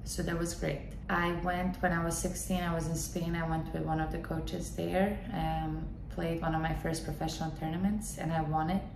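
Thirties woman host from Bosnia, lowish voice, solo podcast, earnest and filler-heavy; echoey room, audible background noise. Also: flat delivery, normal speed, vocal fry, hardly any pauses. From Bosnia and Herzegovina. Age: 30s. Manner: earnest storytelling with frequent fillers. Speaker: podcast host and tennis coach. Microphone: large desk condenser mic in front.

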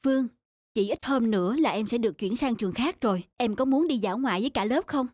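A woman voicing a gentle, caring schoolteacher in a cartoon, with a measured, instructive manner. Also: fairly high pitch, no room sound, studio quality, close to an everyday voice. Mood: calm, kind. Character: gentle, caring female schoolteacher addressing her class. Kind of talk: animated cartoon dubbing.

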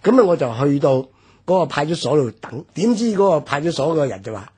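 A man's voice: a little high, sing-song, fast talker, darkish tone, husky. A man in his sixties with a Hong Kong Cantonese accent, recounting memories and history in a reflective radio talk. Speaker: radio commentator.

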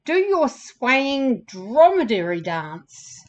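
A woman's voice: sits high, sing-song, extremely slow, exaggerated voice. A woman voicing an upbeat, encouraging male ostrich who teaches dancing in a children's storybook.

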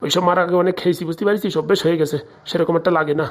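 A woman's voice: fairly low pitch, steady tone, racing, darkish tone, lightly acted. A woman voicing a blustering, bullying male politician in a comic cartoon. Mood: matter-of-fact. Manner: threatening, scolding, colloquial.

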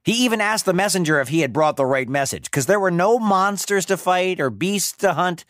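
A man's voice: sits high, fairly quick, a bit bright, no room sound, studio quality. A man narrating a story for children as a podcast host, playful and wry, with dramatic emphasis. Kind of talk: animated storytelling narration of Greek myths for children.

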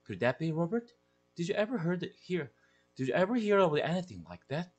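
Man putting on a high, fretful mom voice, anxious, husky, animated storybook reading. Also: brisk. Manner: anxious, breathless, rising pitch. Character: fretful middle-aged mother, excitable.

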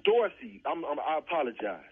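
A man argues informally over the telephone. He sounds exasperated and repeats himself as he protests. His voice runs high and is muffled by the phone-like line. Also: sing-song, brisk, breathy edge. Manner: exasperated, repetitive. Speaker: prank call recipient.

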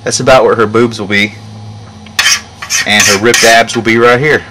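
A young man talks through a hands-on demonstration in a casual, informal way. His voice sits at an average male pitch. It is recorded outdoors on a camera mic, with faint noise around him. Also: steady tone, moderate pace. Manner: informal, explaining step by step. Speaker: hobby metalworker demonstrating. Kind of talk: casual how-to narration. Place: outdoor work yard. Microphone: camera mic a short distance away. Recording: noisy outdoor camcorder audio.

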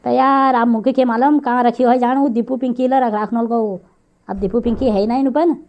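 Cartoon role for a woman: exasperated, gullible rural mother, Kumaoni accent, grumbling delivery, fairly high pitch. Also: steady tone, a little fast, muffled recording, natural voice. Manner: grumbling, rustic. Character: gullible, exasperated village mother of a grown son. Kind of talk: comic cartoon dialogue.

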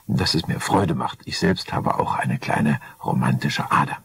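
A man doing a discreet, husky expert architect. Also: a bass voice, unhurried, fairly bright tone, heavily acted. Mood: keen, respectful. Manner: matter-of-fact, expert. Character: discreet professional architect, skeptical yet keen.

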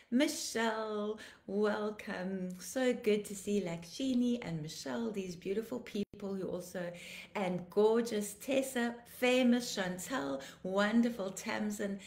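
Woman pastor, 50s, croaky and tired, effusive greetings, fervent repeated declarations, home webcam stream. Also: a little high, a little mellow, roomy sound. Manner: effusive, greeting viewers by name. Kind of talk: live-stream greetings and prayer. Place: living room at home, indoors. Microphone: laptop webcam, video call framing.

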